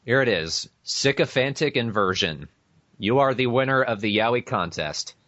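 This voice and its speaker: A man's voice: mid-range voice, animated, normal speed, a bit bright, no echo. A man co-hosting a casual podcast with friends, with a wry and crude sense of humor. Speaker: podcast co-host. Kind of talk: casual group podcast chat. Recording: dry, dull lo-fi voice chat.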